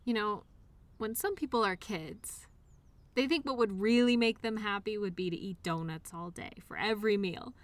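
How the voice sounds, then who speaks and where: A woman playing a loyal childhood imaginary friend in an audio roleplay, speaking in an earnest, reassuring, gently encouraging way. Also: medium pitch, moderate pace, close to an everyday voice. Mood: gentle, reassuring. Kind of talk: one-sided audio roleplay, intimate scripted conversation.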